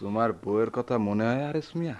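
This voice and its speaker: An actor playing a stern, reproachful village brother-in-law in a Bengali radio drama, admonishing emphatically with a rural Bangladeshi accent. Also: male voice, mid-range voice, quick pace, a little mellow, muffled recording, natural voice.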